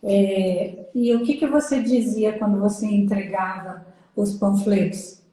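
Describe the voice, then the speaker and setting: A woman's voice: medium pitch, steady tone, measured pace, dark tone. An older Brazilian woman interviewing over a video call, encouraging, with frequent affirming murmurs. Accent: Brazilian Portuguese. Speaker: interviewer, retired judge and lawyer. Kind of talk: conversational interview. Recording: very echoey room.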